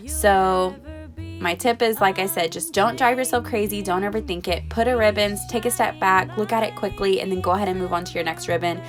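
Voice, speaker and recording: A woman narrates a voiceover while still getting over a cold, so her voice sounds a little off. She talks in a friendly, reassuring, conversational way at a medium pitch. Faint music runs underneath. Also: steady tone, fairly quick. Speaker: home decor vlogger. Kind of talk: voiceover tips.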